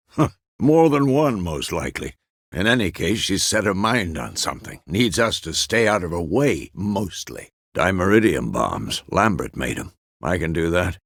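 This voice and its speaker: A gruff old monster-hunter mentor from a fantasy game. His gravelly, husky voice swings freely in pitch, and he speaks in terse, wry phrases. The recording is close and dry. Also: dry recording, full character voice. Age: older. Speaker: video game voice actor. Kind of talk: scripted video game character dialogue.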